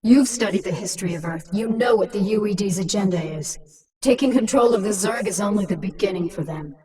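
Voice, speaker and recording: A woman's voice: medium pitch, brisk, a crowd in the background, close to an everyday voice. Commanding, ruthless swarm queen voiced by a woman, slightly distant. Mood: cold command. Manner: commanding, mocking, coolly menacing. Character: ruthless, sardonic alien-hybrid queen of a monstrous swarm. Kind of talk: scripted video game voice acting.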